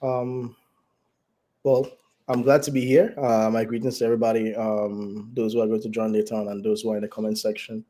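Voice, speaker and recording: A man with a raspy, flu-hoarse voice, a doctor explaining health care as a guest over a video call, measured and full of fillers. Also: on the low side, flat delivery, mellow. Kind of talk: explanatory interview talk.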